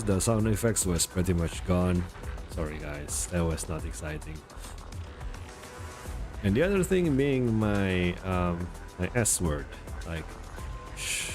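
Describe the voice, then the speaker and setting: Male game streamer, low register with animated swings, casual and self-deprecating, often groping for words, soft game music underneath. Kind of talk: casual live stream chat.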